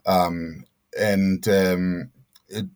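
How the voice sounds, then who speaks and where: A middle-aged man answering interview questions as a scholar, speaking haltingly with many fillers and restarts. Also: low voice, little pitch movement, darkish tone. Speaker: guest scholar.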